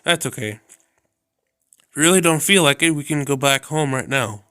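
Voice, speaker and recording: A man's voice: fairly high pitch, lively intonation, moderate pace, sharp tone, clean tone, no room sound, studio quality. A man reading as a sweet, clingy young woman. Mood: coaxing. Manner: coaxing, affectionate. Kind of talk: reading a visual novel aloud.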